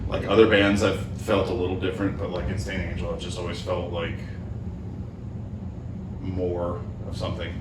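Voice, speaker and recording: A man with a low voice hosts a casual podcast chat, relaxed and rambling. He sits some way from the mic, so he sounds roomy and distant. A noisy background with a football game on surrounds him. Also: normal speed, pauses often. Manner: relaxed, rambling, with frequent fillers and laughs. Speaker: podcast host and band member. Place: living room with a football game on.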